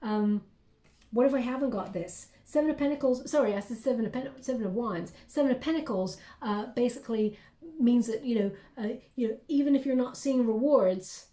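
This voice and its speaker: A woman's voice: on the high side, fairly quick, dark tone, roomy sound. A woman giving a tarot reading in an encouraging, reassuring way, with frequent fillers. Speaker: tarot reader.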